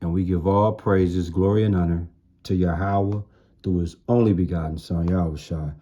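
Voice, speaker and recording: A man's voice: sits low, fairly even intonation, moderate pace, warm tone, lo-fi sound. A man giving an informal sermon in blunt, streetwise slang, repeating phrases for emphasis. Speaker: street-style preacher.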